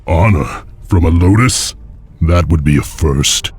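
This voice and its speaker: A man playing a noble, defiant clan warrior leading a slave revolt in a video game, gravelly and resolute. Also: sits low, a little slow, no echo, soft background music. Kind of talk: video game hero acting.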